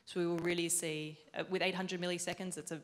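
A woman's voice: on the low side, level tone. Young woman presenting in an echoing hall. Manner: clear explanatory, quick self-corrections. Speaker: robotics engineer giving a talk. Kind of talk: conference presentation. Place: large event hall with audience. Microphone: handheld mic. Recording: long hall reverb.

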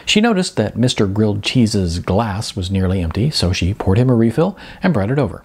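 Gravelly, low-voiced man narrating a story. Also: big pitch swings, brisk, a little mellow. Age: middle-aged. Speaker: audiobook narrator. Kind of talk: expressive scripted story narration. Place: small bright room indoors.

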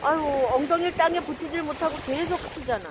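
A woman's voice: high-pitched, fast, noisy background. A woman keeping a fisherman company on a night beach, chatting and constantly exclaiming over the catch. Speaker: fishing companion. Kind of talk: casual chat while fishing.

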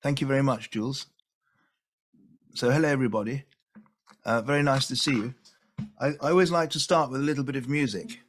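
An older man from Venezuela giving a presentation over a video call from his home, speaking softly and unhurriedly, often repeating a word as he thinks. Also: mid-range voice. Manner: soft, unhurried, repeats words while thinking. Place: home room with window.

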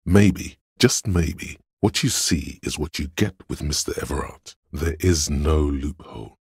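An actor voicing a cool, analytical inner voice of logic in a detective game, narrating in a measured, dryly wry way. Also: male voice, extremely low pitch, gravelly, breathy edge, no room sound, clean studio sound, exaggerated voice. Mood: matter-of-fact. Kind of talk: scripted second-person game narration.

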